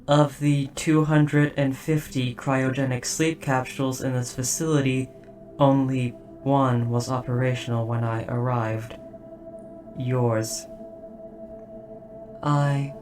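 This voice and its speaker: A performer voicing a dutiful robot soldier in an audio drama, speaking in a formal, precise, measured and softly hesitant way. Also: medium pitch, fairly even intonation, recorded from a distance, faint music behind, lightly acted. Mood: apologetic. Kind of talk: scripted roleplay audio drama.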